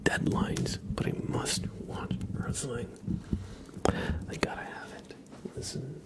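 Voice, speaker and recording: A man hosting an ASMR livestream, chatting softly to viewers in an unhurried, soothing way with halting phrasing. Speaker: livestream host.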